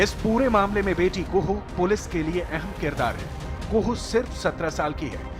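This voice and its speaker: An Indian man reading a scripted, dramatic news report as a voice-over. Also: high voice. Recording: music bed behind voice. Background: background music.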